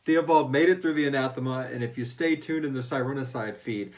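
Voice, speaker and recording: A man's voice: medium pitch, a little fast. A man hosting a fan Q&A, chatting informally and jokily with salty language as he answers questions. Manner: informal, profane, jokey. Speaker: host of a fan Q&A show. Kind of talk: casual chat answering listener questions.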